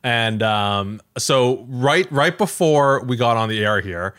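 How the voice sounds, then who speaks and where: A man's voice: medium pitch, expressive, takes it slowly, a bit bright, clear, resonant tone. A man hosting a podcast in a casual chat style, conversational and often hedging as he thinks aloud. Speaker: podcast host.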